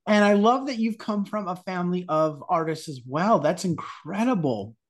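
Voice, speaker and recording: Enthusiastic woman host, lively pitch. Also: moderate pace. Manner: enthusiastic, effusive praise. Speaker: podcast host. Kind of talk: interview hosting.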